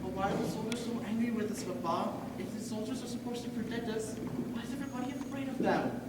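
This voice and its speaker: Girl playing a wide-eyed little village boy onstage, excitable n dramatic, super echoey, mic way far off. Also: on the high side, fast, natural voice. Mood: worried curiosity. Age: young adult. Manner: dramatic, wide-eyed storytelling with Spanish words mixed in. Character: excitable, imaginative young village boy Miguel. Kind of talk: high school stage play acting. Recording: echoey hall from far off, noisy.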